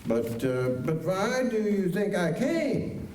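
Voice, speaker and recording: Man acting bitter old widower, high swooping voice. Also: big pitch swings, slow pace, darkish tone, full character voice. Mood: insistent. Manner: insistent, repeats himself, plaintive. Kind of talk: staged radio western drama. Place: high school auditorium stage. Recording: echoey auditorium, distant mic, faint noise.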